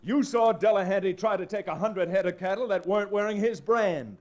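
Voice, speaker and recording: An actor playing a wry, confident young lawyer in an old-time radio Western, brisk and emphatic. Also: male voice, high-pitched, close to an everyday voice. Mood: triumphant. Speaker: lead actor and first-person narrator.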